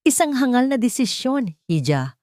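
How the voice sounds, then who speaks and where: A woman's voice: a little high, big pitch swings, normal speed, darkish tone, no echo, clean studio sound, voice pushed up. A woman voicing a cold, proud, rich old grandmother who speaks in an imperious, threatening way in an audio story. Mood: cold disdain. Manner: menacing, imperious.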